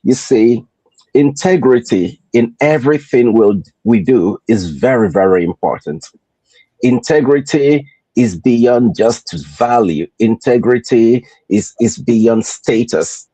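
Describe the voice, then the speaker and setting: A man from Nigeria with a Nigerian accent, hosting a motivational podcast in a deliberate, repetitive rhetorical style. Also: mid-range voice, expressive, dark tone, no echo, low-quality recording. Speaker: podcast host.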